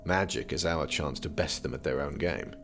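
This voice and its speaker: A bookish young prince in an audio drama. His voice is very low with a gravelly creak, and he talks drily and formally, teasing like a pedant. Music sits underneath. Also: moderate pace. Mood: didactic. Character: erudite, teasing young prince studying magic. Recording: music behind the voice.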